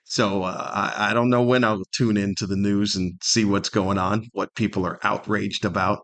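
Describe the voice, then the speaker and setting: A man's voice: on the low side, steady tone, moderate pace, fairly bright tone. A middle-aged or older man hosting a solo podcast, rambling casually with wry humor and plenty of rhetorical questions. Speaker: podcast host.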